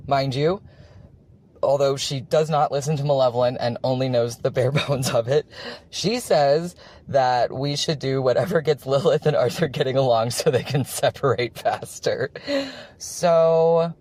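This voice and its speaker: A man's voice: mid-range voice, a little mellow. A man recording a listener's voice message, giving his opinion with tongue-in-cheek mock formality.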